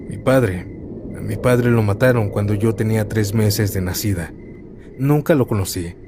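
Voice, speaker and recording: A man reading first-person horror stories aloud as a scripted narration, in a calm, steady storytelling manner. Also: on the low side, slight background noise.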